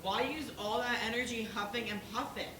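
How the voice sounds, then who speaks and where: A woman's voice: a little high, brisk, close to an everyday voice. Woman as reasonable pig juror, questioning, stage play, distant from mic, echoey room. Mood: reasoning, doubtful. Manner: questioning, argumentative. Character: reasonable pig juror, calmly doubting the wolf's guilt. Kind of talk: school stage play acting. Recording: roomy, distant, noisy stage recording.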